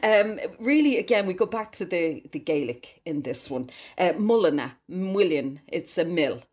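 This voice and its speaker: A woman's voice: on the low side, expressive, moderate pace, darkish tone. A woman with a Dublin accent, a local historian explaining place names in a chatty radio interview, laughing now and then.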